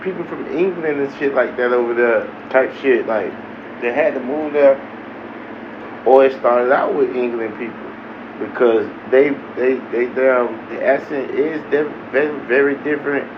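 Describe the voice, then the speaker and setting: A man's voice: mid-range voice, measured pace, lo-fi sound, noisy background. A man hosting a live reaction stream, talking in casual, profane banter straight to his viewers. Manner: animated, talks straight to viewers. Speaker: livestream reaction host.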